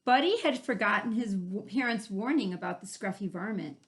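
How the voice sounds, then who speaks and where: A woman hosting a children's read-aloud, expressive and playful, pausing to explain things in casual asides. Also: medium pitch. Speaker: story reader and host.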